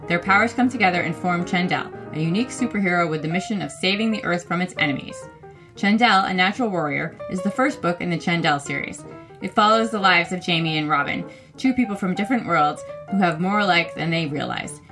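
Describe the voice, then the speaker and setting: Low-voiced woman reading an ad over music. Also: a little fast. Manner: smooth, even promotional delivery. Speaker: sponsor announcer. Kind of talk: scripted ad read.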